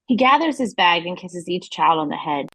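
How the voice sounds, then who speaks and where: A woman's voice: fairly low pitch, lively intonation, moderate pace, fairly bright tone, husky, dry recording, exaggerated voice, rough recording. A woman reading scripted narration and stage directions as the narrator of an audio play.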